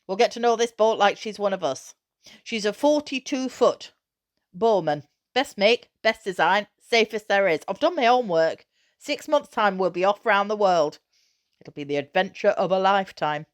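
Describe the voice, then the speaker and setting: A woman voicing an excited father in a storybook, speaking with breathless, emphatic enthusiasm about his big plans. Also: mid-range voice, normal speed, natural voice. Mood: eager, persuasive. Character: excited, eager father with big sailing dreams. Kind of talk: acted dialogue in a children's novel reading.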